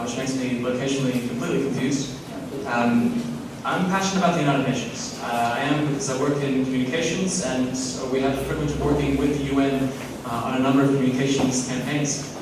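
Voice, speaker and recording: A man's voice: medium pitch, fairly quick. A man with a Hong Kong accent hosting a conference, hesitant with many fillers and self-deprecating jokes. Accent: Hong Kong English. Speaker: conference host. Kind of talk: emcee introductions with jokes. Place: conference hall. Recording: echoey hall, distant mic, noise.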